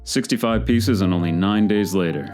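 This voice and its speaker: A man's voice: low-pitched, normal speed, a little mellow, soft background music, lightly acted. A man playing a wisecracking, self-assured private detective in an old-time comic radio serial, narrating in clipped noir style with dry asides. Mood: wry, narrating.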